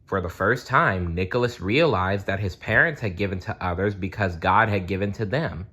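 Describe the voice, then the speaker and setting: A man reading a children's story aloud in a deliberate, storytelling manner. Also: low voice, bright, forceful tone, husky.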